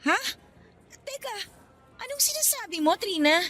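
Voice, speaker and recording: A woman's voice: extremely high pitch, big pitch swings, unhurried, music in the background, heavily acted. A woman playing a defensive young office worker in a radio drama, pleading and indignant. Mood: defensive, confused. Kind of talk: Tagalog radio drama acting.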